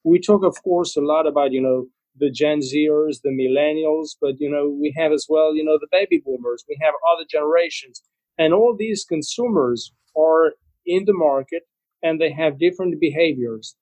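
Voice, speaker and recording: Spanish-born man, interview guest, slightly high voice, dry close recording, filler-heavy fluent talk. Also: normal speed, darkish tone, no echo, rough recording. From Spain. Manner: fluent, frequent "you know" fillers. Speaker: interview guest, trademark association representative. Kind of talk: conversational interview answers.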